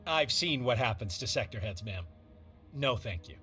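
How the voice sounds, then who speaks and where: A man's voice: mid-range voice, lively intonation, normal speed, pushed and bright, soft background music. An actor playing a courteous, cautious agency operations man in a video game, explaining things conscientiously and deferentially. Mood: wary, polite. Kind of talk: scripted video game dialogue.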